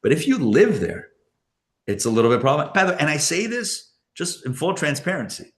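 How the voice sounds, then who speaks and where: A man's voice: fairly high pitch, sing-song, brisk. A man, a rabbi teaching a live Torah class to an audience, talking casually, often chuckling and restarting as he fields questions. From born in Israel, raised elsewhere.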